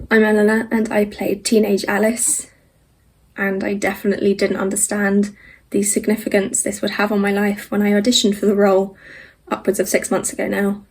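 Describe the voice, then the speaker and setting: A woman's voice: medium pitch, vocal fry, breathy edge, poor audio. A young woman, an actor, speaking in a sincere, heartfelt way for a personal video recording.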